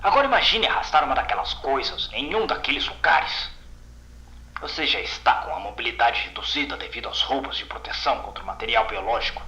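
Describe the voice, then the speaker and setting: A shaken field agent acted by a man recounts horror with bitter, haunted intensity. His Brazilian-accented voice is very tense and bright, set in an echoing space like a horror audio drama. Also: medium pitch, animated, a little fast, muffled recording. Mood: agitated, weary. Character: shaken, bitter male containment agent recounting a horrifying mission.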